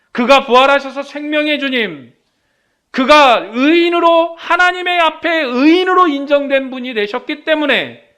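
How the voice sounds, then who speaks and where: Guy preaching a sermon, really high voice, clean and smooth, emphatic, lots of rhetorical questions. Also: bright, forceful tone. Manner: emphatic, asks rhetorical questions and answers them. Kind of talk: sermon to a congregation.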